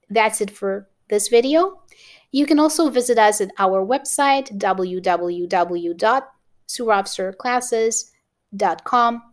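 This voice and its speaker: A woman with a Romanian accent teaching an exam-prep lesson to camera, encouraging and often checking in with "okay?". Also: medium pitch. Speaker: English teacher.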